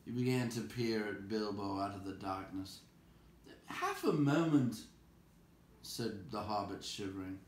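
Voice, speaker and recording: A man narrating a storybook aloud in an expressive read-aloud style. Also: lowish voice, normal speed, few pauses. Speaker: storybook reader. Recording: echoey room with faint background noise.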